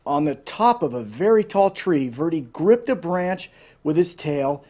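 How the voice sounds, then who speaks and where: Male storyteller, somewhat high voice, informal read-aloud for children, speaking straight to listeners. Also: lively intonation, a little slow, darkish tone. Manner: informal, addressing listeners directly. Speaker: volunteer storyteller.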